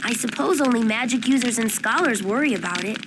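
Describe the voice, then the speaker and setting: A woman's voice: a little high, expressive, fairly quick, lightly acted. A woman voicing an inquisitive, articulate youngster in a fantasy video game. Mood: matter-of-fact. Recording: noisy game ambience behind voice.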